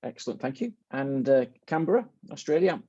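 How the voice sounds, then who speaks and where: Mellow man moderating, dry muffled audio. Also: medium pitch, animated, brisk, slightly rough, no echo. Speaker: host and moderator of a student symposium. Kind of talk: informal moderating on a group video call. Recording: dull, dry video-call audio.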